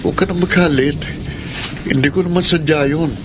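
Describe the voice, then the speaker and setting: An actor playing an apologetic, hard-working husband in a radio drama, pleading and defensive. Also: male voice, fairly high pitch, steady tone, normal speed, noisy background, lightly acted. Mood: sheepish, defensive.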